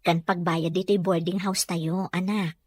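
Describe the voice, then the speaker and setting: A woman with an Ilocano accent playing a gentle, struggling young mother looking for work in a Filipino radio drama. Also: on the low side, steady tone, unhurried, no echo. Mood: gentle, explaining.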